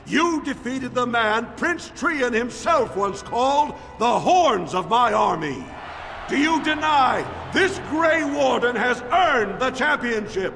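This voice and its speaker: An actor playing a proud master of arena combat in a video game, declaiming formally and grandly in an echoey hall. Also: male voice, very high voice, animated, slow, creaky, fairly bright tone, a little hiss or hum, full character voice. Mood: proclaiming. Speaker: arena announcer. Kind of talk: formal ceremonial proclamation. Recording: echoey hall, faint music behind.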